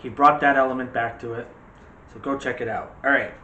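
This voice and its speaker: A man with a medium-pitched voice talks through his opinions as a show co-host, rambling and ready to argue a point. It is casual, conversational chat, recorded in an echoey room with faint noise behind him. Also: steady tone, fairly quick, pushed and bright, dull audio. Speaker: co-host of a movie discussion show.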